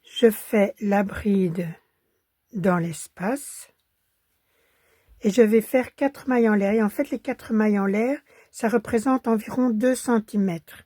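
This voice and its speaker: Hoarse Belgian woman teaching crochet, slow. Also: mid-range voice, no echo, clean studio sound. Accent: Belgian French. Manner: calm, counts stitches under her breath. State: hoarse from a cold. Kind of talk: step-by-step crochet tutorial.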